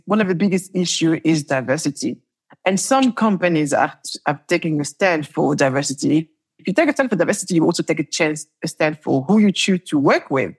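Husky-voiced woman telling lively stories. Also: on the low side. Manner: animated storytelling, laughs often, repeats words. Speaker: entrepreneur guest. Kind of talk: conversational interview.

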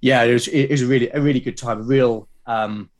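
A man's voice: mid-range voice, steady tone, fairly quick. A middle-aged man with a southern English accent, chatting and telling stories as an interview guest in a hesitant, reflective way. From South London, UK. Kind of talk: casual conversational storytelling.

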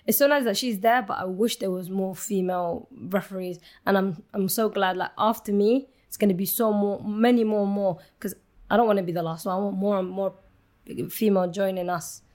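A young woman from Somalia talks as a guest in a relaxed interview. Her speech is eager and rambling, full of "like" and quick restarts. Her pitch sits in a middle range for a woman. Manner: eager, chatty, rambling with many "like"s. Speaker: guest, football referee and coach. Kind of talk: casual interview chat.

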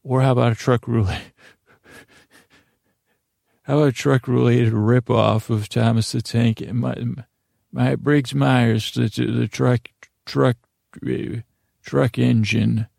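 A man hosting a podcast with a rambling, improvised monologue, halting and often interrupting himself, in a creaky, gravelly voice. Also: mid-range voice, fairly even intonation, darkish tone, no echo, clean studio sound. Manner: meandering, halting, self-interrupting. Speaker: podcast host.

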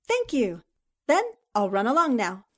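Woman playing a high-voiced, brisk lady impostor. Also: wildly swooping pitch, a bit bright, full character voice. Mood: hurried, evasive. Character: brisk female impostor posing as a newspaperwoman. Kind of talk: 1940s radio drama acting.

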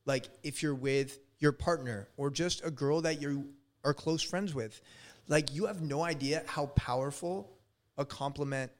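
A man's voice: on the high side, a little slow. Casual man in his thirties hosting. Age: 30s. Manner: relaxed, heavy filler "like", casual swearing. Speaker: podcast host. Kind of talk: casual interview chat.

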